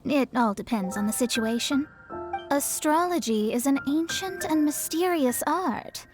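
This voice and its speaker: Proud young female astrologist, high-pitched, self-important, brisk, anime game acting. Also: lively intonation. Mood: self-assured. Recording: music behind the voice.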